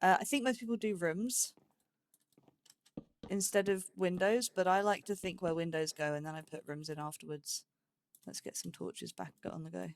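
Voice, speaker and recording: A woman hosts a let's play with casual commentary. She thinks out loud in short, broken phrases, playfully chatting to herself at a mid-range pitch. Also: steady tone, moderate pace, a little hiss or hum.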